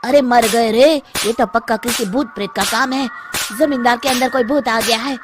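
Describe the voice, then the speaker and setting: A woman's voice: a little high, steady tone, moderate pace, over music, voice pushed up, close to an everyday voice. A woman voicing an anxious, superstitious village man, exclaiming fearfully in a story. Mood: panicked. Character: panicky, superstitious village men.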